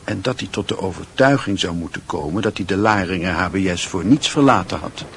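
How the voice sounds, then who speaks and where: A man acting as the brooding narrator of a literary radio play, with a low, husky voice. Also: lively intonation, a little fast, a bit bright, slight background noise, full character voice. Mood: bitter, brooding. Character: brooding male narrator of a literary coming-of-age story.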